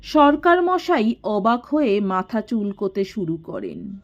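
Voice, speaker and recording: A woman reading a literary story in a measured, expressive storytelling manner. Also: medium pitch, dull audio. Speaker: story narrator. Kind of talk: scripted literary audiobook narration.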